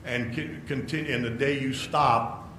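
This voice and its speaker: A baseball manager answering a press question in a measured, reflective way, played back as a clip. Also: male voice, mid-range voice, fairly even intonation, sharp tone, slight background noise. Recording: echoey archival press clip with faint ambience.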